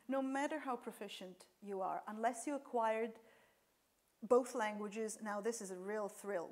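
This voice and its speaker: A woman's voice: medium pitch, a little mellow. A woman lecturer whose first language is Serbian, answering audience questions after a talk, explaining with frequent fillers and restarts.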